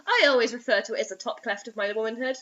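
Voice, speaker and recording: A woman's voice: a little high, very fast, sharp tone, highs cut off. A woman making casual, joking interjections as a co-host, quipping with mock indignation. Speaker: co-host heckling the reading.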